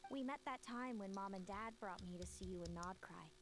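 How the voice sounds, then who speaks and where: An actress playing a melancholy, fatalistic young woman seer in a video game, with solemn, measured dramatic delivery. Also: medium pitch, lightly acted. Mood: proud, ominous. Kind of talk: scripted video game voice acting. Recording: loud music under the voice. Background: game music.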